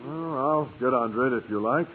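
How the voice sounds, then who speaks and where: A man's voice: medium pitch, fairly quick, lightly acted. An actor playing a bashful, literal-minded man with a hesitant, pedantic manner in a 1950s radio sitcom. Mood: flustered. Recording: noisy, tinny old radio recording.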